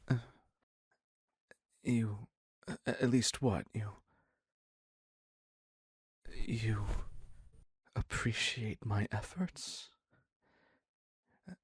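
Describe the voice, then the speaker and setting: A man playing an obsessive, needy captor devoted to his captive in an intimate dark romance roleplay, husky and halting, often dropping into a whisper. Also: medium pitch, dry recording, studio quality, heavily acted. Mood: hesitant, hopeful. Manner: halting, pleading, very slow with long pauses.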